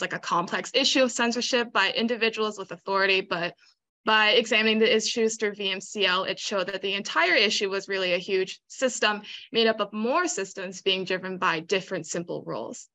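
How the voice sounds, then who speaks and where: A young woman giving a measured research presentation on a video call. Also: mid-range voice, bright, forceful tone. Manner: measured, explanatory. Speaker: student presenter. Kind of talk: conference presentation.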